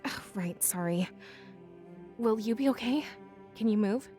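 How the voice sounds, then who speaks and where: A woman playing a gentle, earnest young furry companion in a visual novel, speaking with thoughtful concern. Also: a little high, unhurried, faint music behind, lightly acted.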